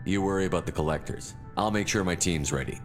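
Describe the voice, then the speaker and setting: An actor playing a tough, wary military commander in a video game, terse and decisive. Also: male voice, sits low, quick pace, close to an everyday voice. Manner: terse, blunt. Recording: game music behind.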